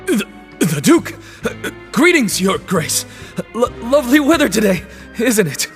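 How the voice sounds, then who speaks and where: A man's voice: sits high, very animated, unhurried, a bit bright, faint music behind, exaggerated voice. An actor playing a grudging, nervous convict guide in a video game, hesitant and servile toward his betters. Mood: nervous fawning. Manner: hesitant, stammering before superiors.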